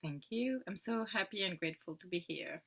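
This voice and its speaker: Bright-voiced woman from France. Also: lowish voice, expressive, fairly quick, vocal fry, slight background noise. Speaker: participant.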